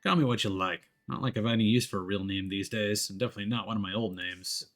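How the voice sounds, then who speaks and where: A man voicing a tough, gruff, grumbling male ex-detective with a grudgingly kind streak. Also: on the low side, fast talker, fairly bright tone, voice pushed down, close to an everyday voice. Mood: bitter, resolute.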